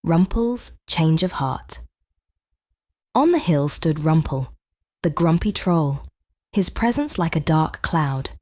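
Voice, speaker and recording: A woman with a fairly low voice narrates a children's storybook. Her reading is gentle and measured, with expressive phrasing, recorded dry and close. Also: dry recording. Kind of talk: scripted bedtime storybook narration.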